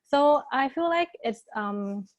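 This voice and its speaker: A woman's voice: sits high, lively intonation, takes it slowly, dry recording, highs cut off. A woman from Thailand on a webinar panel over a video call, laying out her points in order with fillers.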